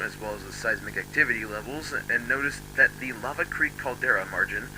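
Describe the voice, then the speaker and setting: A man playing a grave, formal emergency broadcast announcer, reading solemnly and deliberately from an analog horror script. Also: lowish voice, background noise, close to an everyday voice. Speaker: emergency alert narrator.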